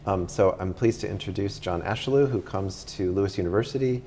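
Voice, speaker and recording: A man hosting a campus talk show, speaking in a friendly, halting way with many pauses and ums. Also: deep voice, a little mellow. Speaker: host. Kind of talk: casual interview chat.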